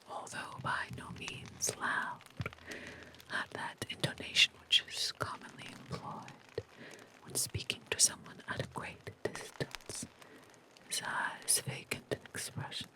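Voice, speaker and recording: Whispering storybook narrator. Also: rough recording. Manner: whispered, measured and deliberate.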